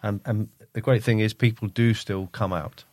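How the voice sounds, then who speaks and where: A man's voice: on the low side, fairly even intonation, normal speed, a little mellow, dry recording. A man chatting casually as a podcast co-host who is also a musician. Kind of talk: casual chat.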